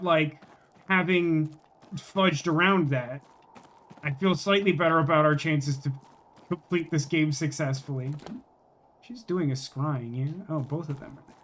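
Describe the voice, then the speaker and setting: A game streamer chatting casually through a playthrough, thinking out loud with joking asides. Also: mid-range voice. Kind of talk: casual gameplay commentary, reading dialogue aloud.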